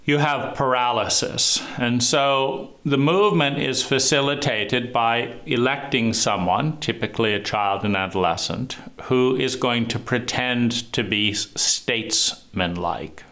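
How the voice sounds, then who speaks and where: A man with a deep voice giving a reflective monologue, talking slowly and deliberately with frequent pauses and fillers. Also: bright, forceful tone. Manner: slow, deliberate, pausing often with fillers. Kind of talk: reflective monologue on trauma and psychology.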